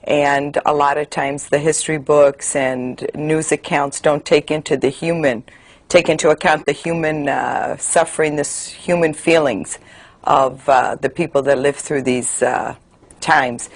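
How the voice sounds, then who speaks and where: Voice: medium pitch, unhurried. A speaker born and raised in the United States, speaking earnestly in a documentary interview with frequent fillers. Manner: even, earnest, many fillers.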